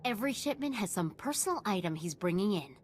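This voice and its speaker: An actress playing a sardonic, resentful military quartermaster in a video game, matter-of-fact and sarcastic. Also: female voice, mid-range voice, big pitch swings, fast, a little hiss or hum, close to an everyday voice. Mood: earnest, resentful.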